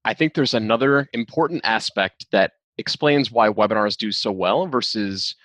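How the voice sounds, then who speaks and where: Guy hosting a marketing podcast, lowish voice, dry mic, earnest and agreeable. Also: moderate pace, fairly bright tone, no room sound. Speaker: podcast host. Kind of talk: interview questions and commentary.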